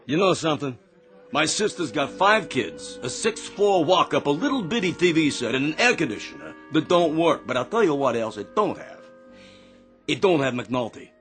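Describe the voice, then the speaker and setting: An actor playing a blunt, wisecracking working-class American bar patron in a radio drama. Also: male voice, a little high, sing-song, normal speed, a bit bright, faint music behind. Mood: fed up, wisecracking. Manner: colloquial, blunt.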